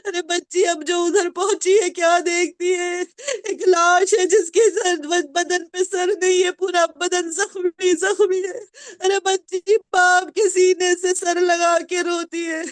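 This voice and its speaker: Woman reciter, very high voice, flat tone, dry close sound, weeping delivery. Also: dry recording. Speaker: majlis reciter. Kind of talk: mourning sermon recital.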